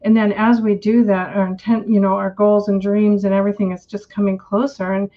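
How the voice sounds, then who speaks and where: A woman's voice: medium pitch, little pitch movement, fairly quick. A woman co-host speaking earnestly and encouragingly over a video call, repeating phrases for emphasis. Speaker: co-host and writing coach. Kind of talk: motivational casual chat. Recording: dull lo-fi video-call audio.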